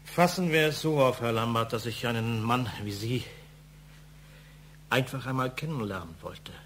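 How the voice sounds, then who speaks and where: A man's voice: mid-range voice, moderate pace, fairly bright tone, a little hiss or hum, lightly acted. A man acting in a German audio drama as a stern, unruffled police inspector with a formal, accusatory way of talking. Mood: cool, factual.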